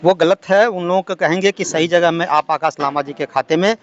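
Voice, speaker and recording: A man from Madhya Pradesh in India answering interview questions as a protest supporter, halting and repeating phrases, on a dull recording. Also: a little high. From Singrauli, Madhya Pradesh, India. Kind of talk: earnest spoken interview answers. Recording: dull, lo-fi audio.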